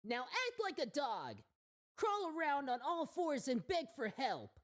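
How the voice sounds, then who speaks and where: A woman voicing a mocking street thug in an anime fan dub, with a jeering, drawn-out delivery. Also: high voice, huge pitch swings, no echo, studio quality, heavily acted.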